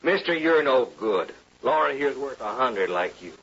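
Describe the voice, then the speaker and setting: An actor playing a plain-spoken, fiercely loyal frontier bartender with folksy speech in an old-time radio western. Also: male voice, medium pitch, animated, moderate pace, fairly bright tone, slight background noise. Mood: indignant. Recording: dull old radio recording.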